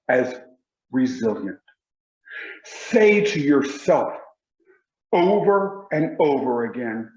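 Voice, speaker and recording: A man, giving a motivational speech with emphatic, deliberate pauses. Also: mid-range voice, roomy sound, dull audio. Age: 40s. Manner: emphatic, slow deliberate delivery with dramatic pauses. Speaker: motivational speaker.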